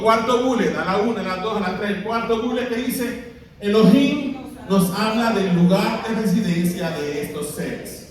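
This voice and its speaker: Puerto Rican pastor in a booming hall. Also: male voice, a little hiss or hum. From Puerto Rico. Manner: asks the congregation questions and has them read aloud. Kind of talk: sermon. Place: large church hall. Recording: very echoey hall, voice from a distance.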